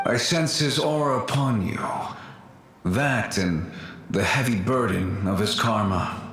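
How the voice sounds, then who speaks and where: A man voicing a gentle, melancholy warrior spirit in a video game, speaking formally and solemnly with a ghostly reverb. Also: fairly low pitch. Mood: sorrowful. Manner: formal, solemn, measured speech. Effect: echoing ghostly reverb. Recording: echoing game audio with background ambience.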